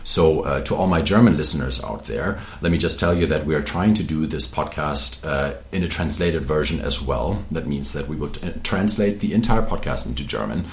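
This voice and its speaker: Very deep-voiced podcast host, roomy sound. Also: male voice, normal speed, few pauses. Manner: earnest, wordy, with many uh and um fillers. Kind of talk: interview podcast hosting.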